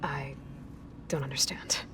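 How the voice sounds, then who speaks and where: A woman's voice: very deep voice, steady tone, measured pace, pushed and bright, slight background noise, heavily acted. A woman acting a determined young warrior heroine in a video game, direct, practical and plain-spoken in a naturalistic style. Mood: concerned, gentle.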